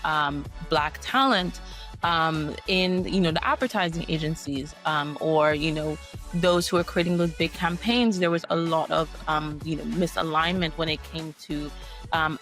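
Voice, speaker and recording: A woman who grew up in Egypt, answering as an interview guest in measured, halting phrases. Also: low-pitched, a bit bright. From grew up in Cairo, Egypt. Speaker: guest entrepreneur.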